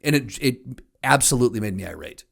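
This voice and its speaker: A man's voice: medium pitch, animated, quick pace, vocal fry. An American man co-hosting a podcast, chatting casually with emphatic, exasperated, profane comic complaints. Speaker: podcast co-host. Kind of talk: casual comedic chat.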